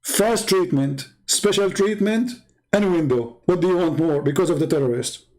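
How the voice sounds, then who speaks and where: Higher-pitched, sarcastic Arabic-accented man. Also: a little mellow, rough recording. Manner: sarcastic, halting with repetitions, acting out dialogue. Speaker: live show host. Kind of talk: casual, joking storytelling monologue.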